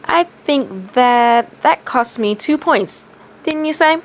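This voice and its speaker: A woman's voice: high voice, lively intonation, pitched above the performer's own voice. A woman voicing a cheeky, smug young ninja boy in a storybook reading, blunt and matter-of-fact. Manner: matter-of-fact, deliberately obvious. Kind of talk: storybook reading of fan fiction. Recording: clearly audible background noise.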